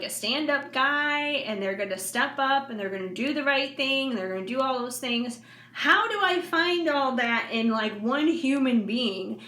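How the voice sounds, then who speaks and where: A forty-year-old woman with a bright, fairly high voice speaks candidly to her viewers, rambling along with plenty of fillers. The sound is roomy and echoey, with a faint hiss underneath. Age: 40s. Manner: candid, rambling, frequent fillers and soft laughs. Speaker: intuitive reader and vlogger. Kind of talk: casual heartfelt monologue to viewers. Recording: echoey room with faint background noise.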